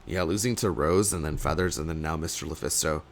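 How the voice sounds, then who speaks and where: Low-voiced male caster losing his voice. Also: a little fast, a little hiss or hum. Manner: rambling, hedging, many fillers, bursts of excitement. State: losing his voice, a little sick. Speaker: tournament caster. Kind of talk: solo play-by-play commentary.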